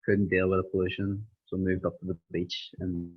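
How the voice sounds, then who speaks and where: A young Irish man telling his story in an interview, pausing often with ums. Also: low-pitched, flat delivery, husky. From Ireland. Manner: hesitant, many ums, rambling. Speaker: interviewed student. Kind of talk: casual interview. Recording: dull lo-fi sound.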